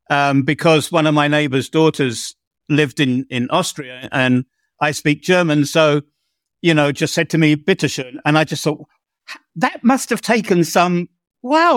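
A man's voice: on the high side, fairly bright tone, dry recording, studio quality. A man hosting a podcast interview in a conversational style, wording his remarks and questions with care. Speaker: podcast host.

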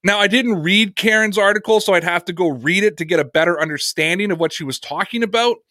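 A man's voice: high-pitched, fast, pushed and bright, no echo, studio quality. A man hosting a sports podcast, answering viewer comments in an earnest, conversational way.

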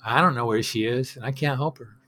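A man with a middle-pitched voice delivers a personal storytelling monologue as the host of his own show. He talks conversationally and reflectively, with a wry edge to his phrasing. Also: fairly quick, bright, forceful tone, soft background music.

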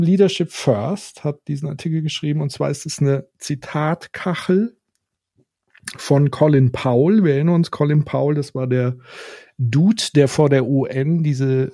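A man's voice: on the high side, mellow. A man with a German accent, talking casually as a podcast co-host.

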